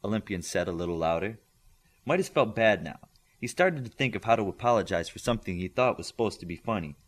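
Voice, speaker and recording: Deep-voiced man with lively storybook narration. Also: fast. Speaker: audiobook reader.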